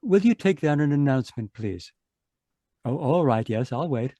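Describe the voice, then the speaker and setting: A man's voice: medium pitch, expressive, moderate pace, warm tone, no echo. A man acting a courteous, matter-of-fact funeral chapel clerk making a phone call in a radio drama. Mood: polite, businesslike. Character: polite, businesslike funeral chapel clerk telephoning.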